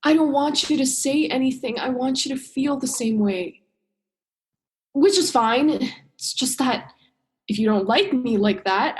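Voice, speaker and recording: An earnest, exasperated young woman, played by an actress in a radio play. Her voice is a bit high, and her delivery is direct and emotional. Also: a little mellow, natural voice. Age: young adult. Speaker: drama student actor.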